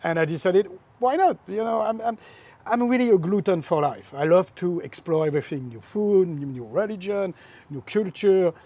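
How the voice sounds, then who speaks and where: A middle-aged man with a French accent speaks as an interview guest, expansive and philosophical. His voice sits a touch high and sounds husky. He presses his points with emphasis. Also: expressive, normal speed, mellow. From France. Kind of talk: casual interview chat.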